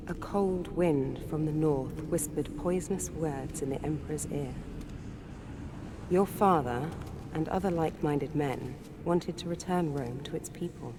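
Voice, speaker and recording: A woman playing a knowing female spirit guide in a video game, speaking in a solemn, deliberate, portentous way. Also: sits low. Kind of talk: dramatic video game cutscene monologue. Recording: clear background ambience noise.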